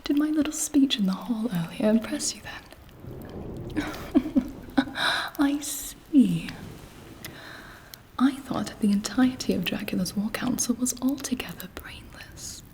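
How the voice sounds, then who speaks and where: A woman voicing a haughty, seductive vampire queen in an ASMR roleplay, speaking softly with an aristocratic, condescending manner. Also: a little high, a little slow, pauses often, lightly acted. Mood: conspiratorial scorn. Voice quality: soft breathy whisper.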